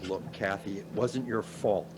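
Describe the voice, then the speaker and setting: Actor as a gentle middle-aged professor. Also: male voice, on the low side, fairly even intonation, measured pace, slight background noise, lightly acted. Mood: gentle, concerned. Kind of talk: radio play acting.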